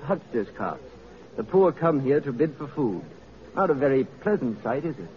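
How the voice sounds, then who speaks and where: A man's voice: mid-range voice, very animated, moderate pace, lightly acted. An actor playing a worldly, matter-of-fact male tour guide, politely and dryly explaining in an old radio drama. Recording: muffled old radio recording.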